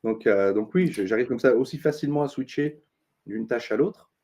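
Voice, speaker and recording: Mellow-voiced man giving advice. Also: medium pitch, a little fast. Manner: thoughtful, many fillers and restarts, earnest advice-giving. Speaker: interview guest, business consultant. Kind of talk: casual interview talk.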